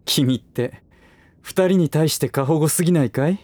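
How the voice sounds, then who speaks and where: A man's voice: a little high, normal speed, a little mellow, dry recording, natural voice. An actor playing a smug, condescending aristocratic businessman in a Japanese voice drama, with languid, lordly, teasing phrasing. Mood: teasing, exasperated.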